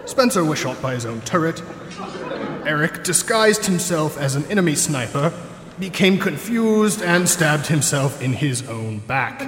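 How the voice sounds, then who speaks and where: A man's voice: fairly high pitch, animated, unhurried, fairly bright tone, slight background noise. A man reading a mock-solemn comedy announcement in a formal, tongue-in-cheek announcer's manner. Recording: echoey hall reverb, music behind.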